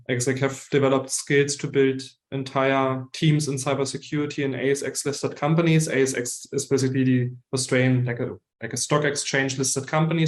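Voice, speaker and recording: A young man with a German accent talks as a guest consultant in an online meeting. His mid-range voice is casual and conversational, with loosely built, hedging sentences. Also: medium pitch. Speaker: guest expert, recruitment consultant. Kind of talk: casual self-introduction to an online audience.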